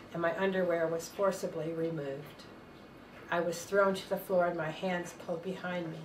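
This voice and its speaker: An older woman, a retired nurse, reading a first-person testimony aloud in a measured, earnest way. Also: on the low side. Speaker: reader of a testimony. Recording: roomy sound, distant mic, faint noise.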